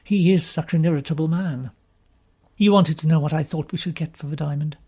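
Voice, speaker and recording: A man's voice: on the high side, brisk, mellow, slightly rough. A man reading scripted story narration as an audiobook narrator.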